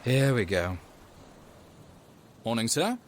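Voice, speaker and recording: An actor playing a weary, rule-bending detective sergeant in an audio drama, with a dry, understated delivery. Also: male voice, mid-range voice, very animated, slow, a little hiss or hum. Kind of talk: audio drama acting with first-person narration.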